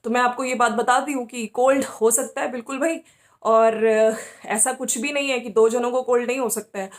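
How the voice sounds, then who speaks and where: A woman's voice: a little high, steady tone, normal speed. A woman giving casual opinion commentary as a host, talking conversationally and often checking for agreement. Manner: conversational, often seeking agreement with tag questions.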